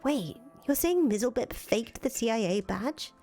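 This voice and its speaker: A woman playing a sceptical, worried confidante in a visual novel, questioning and expressive, with a slightly husky voice. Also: a little high, unhurried. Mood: doubtful, soothing. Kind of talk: acted reading of visual novel dialogue. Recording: music under the voice.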